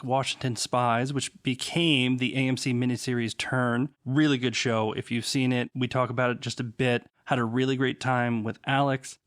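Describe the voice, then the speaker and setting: A man hosting a history podcast, talking in an upbeat, enthusiastic way. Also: medium pitch. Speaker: podcast host. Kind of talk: casual podcast chat.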